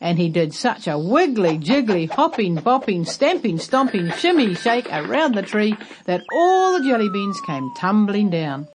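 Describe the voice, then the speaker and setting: A woman narrating a children's story aloud as a storyteller, expressive and emphatic. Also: medium pitch, unhurried, few pauses, background noise.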